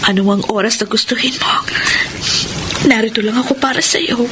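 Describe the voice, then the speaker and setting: A woman's voice: fairly high pitch, fairly quick, noisy background, close to an everyday voice. An actress playing a lovesick, insistent young woman in a Filipino radio melodrama, with pleading, emotive delivery. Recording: radio drama with music underneath.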